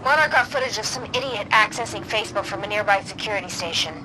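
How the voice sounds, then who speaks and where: A woman acting a brisk, take-charge ally in a video game. Her mid-pitched voice gives orders with dry exasperation, over clear background noise. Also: lightly acted. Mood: urgent, exasperated. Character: brisk, commanding female ally, dryly exasperated.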